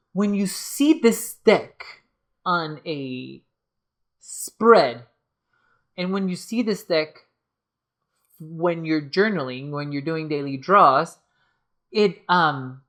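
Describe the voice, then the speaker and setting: A woman giving a casual video review, talking hesitantly with many fillers and restarts as she loses her voice. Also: sits low. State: losing her voice. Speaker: tarot deck reviewer.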